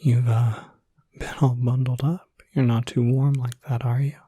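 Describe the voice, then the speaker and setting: A tender young werewolf voiced by a man whispers in a very breathy, airy voice. He talks slowly and casually, with soft hesitations, reassuring the listener like a caretaker. The ASMR roleplay is intimate and dry, with no room sound. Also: medium pitch, little pitch movement, dry recording. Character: gentle, caring young male werewolf looking after someone.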